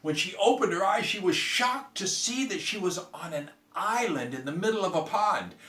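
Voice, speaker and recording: A man's voice: sits high, expressive, normal speed, fairly bright tone, far from the mic. A man narrating a children's bedtime story as a storyteller. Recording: echoey room, off the mic.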